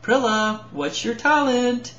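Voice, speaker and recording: Man as brisk grown woman fairy, pitched up. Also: fairly even intonation, bright, forceful tone, full character voice. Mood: impatient. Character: brisk, scowling adult female fairy, impatient. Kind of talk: storybook character voice. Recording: roomy echo, slightly dull home recording.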